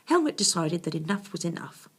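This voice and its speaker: A woman's voice: lowish voice, big pitch swings, fairly quick, slightly rough. A woman reading a children's bedtime story expressively, with confiding asides to her young listeners.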